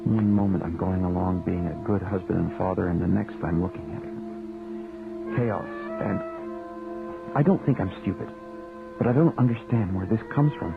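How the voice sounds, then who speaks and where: Low, gravelly, restless married man. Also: moderate pace, pauses often. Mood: tormented, confessional. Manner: hesitant, confiding, conversational. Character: restless married male writer, torn and self-doubting. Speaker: lead actor and narrator. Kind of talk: radio drama acting with confessional narration. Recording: thin phone-like radio audio, music behind.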